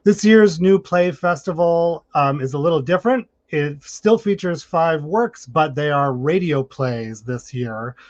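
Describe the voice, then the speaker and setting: A man's voice: a little high, expressive, unhurried, hardly any pauses, dry recording, lo-fi sound. A man speaking as moderator, introducing guests at an online event. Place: online video call.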